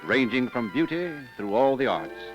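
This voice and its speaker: Old time radio host guy, lowish formal voice, dull vintage recording, music behind. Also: very animated, normal speed. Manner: formal, declamatory. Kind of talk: 1930s radio announcing and scripted interview.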